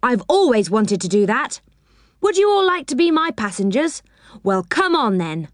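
An adventurous young storybook boy, played by a woman, pitched up high and full of eagerness. He exclaims and gives orders with bouncy, swooping intonation. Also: moderate pace, dry recording, clean studio sound. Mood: eager, bossy. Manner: excited, exclaiming.

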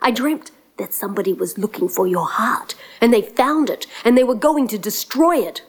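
A woman voicing a young prince who talks fast and nervously in casual slang. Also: very high-pitched, animated, full character voice. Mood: chatty.

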